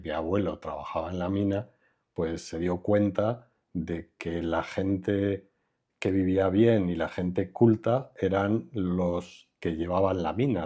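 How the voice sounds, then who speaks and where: Low, husky-voiced older man. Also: mellow, muffled recording. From Madrid, Spain. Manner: reflective, unhurried, trails off with fillers. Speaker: architect, interview guest. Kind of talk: relaxed conversational interview.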